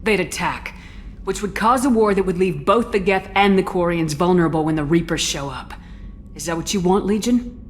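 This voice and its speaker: An actress voicing a steady female commander who speaks directly and firmly. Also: lowish voice, normal speed, a little hiss or hum, close to an everyday voice. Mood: persuasive. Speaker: player character. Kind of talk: video game voice acting. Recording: game audio with music behind.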